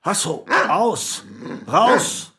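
An actor playing a brusque, excitable neighbour in a radio play, speaking emphatically. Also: male voice, high-pitched, drawn-out words, vocal fry, full character voice. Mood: bustling.

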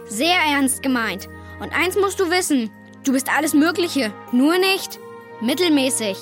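Casting: cool, sarcastic young boy for a children's audio play, high youthful voice. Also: lively intonation, measured pace, a bit bright, soft background music, exaggerated voice. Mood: sincere. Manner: casual, sarcastic. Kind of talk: children's radio drama acting.

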